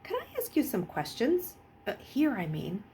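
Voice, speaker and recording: A woman's voice: on the high side, huge pitch swings, moderate pace, dark tone, a little hiss or hum, pitched above the performer's own voice. A woman voicing a timid, earnest young boy in an audiobook, hesitant and full of puzzled questions. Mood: tentative. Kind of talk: audiobook character voice.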